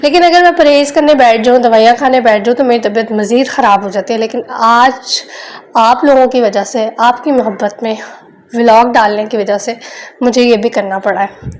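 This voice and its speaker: A woman's voice: fairly high pitch, moderate pace. Chatty young woman vlogging. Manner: chatty, homely, frequent filler phrases. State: sore throat. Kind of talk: casual vlog voice-over.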